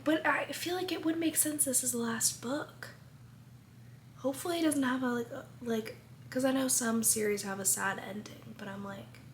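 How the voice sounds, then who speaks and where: A young woman chatting casually in a reading vlog, expressive and full of "like", losing her voice. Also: a little high, a little hiss or hum. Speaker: book vlogger.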